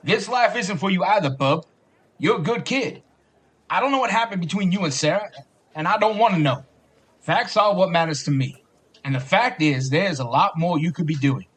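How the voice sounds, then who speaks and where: Audio drama sergeant male actor: middle-aged, blunt, caring, husky voice pitched a little high. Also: normal speed, fairly bright tone. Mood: earnest, fatherly. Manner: folksy, blunt, calls younger men kid and bub. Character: gruff, fatherly older police sergeant, blunt but caring.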